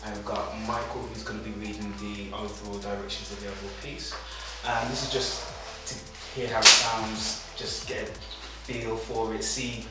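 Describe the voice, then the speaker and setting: A man's voice: lowish voice, little pitch movement, normal speed, few pauses, over loud music. A man giving a casual spoken introduction as host of a table read. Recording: very echoey room, far from mic.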